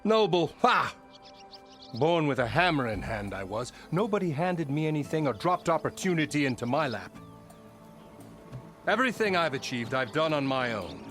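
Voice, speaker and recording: An actor playing a pompous, self-important labour boss in a fantasy video game, bombastic, theatrical and mock-courteous in manner. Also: male voice, on the high side, very animated, moderate pace, fairly bright tone, soft background music. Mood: indignant, boastful. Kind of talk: video game character acting.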